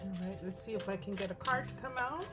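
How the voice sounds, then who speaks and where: A hoarse woman reading tarot cards aloud, thinking out loud and often asking "right?". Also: medium pitch, fast talker. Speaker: tarot reader. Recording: music in the background.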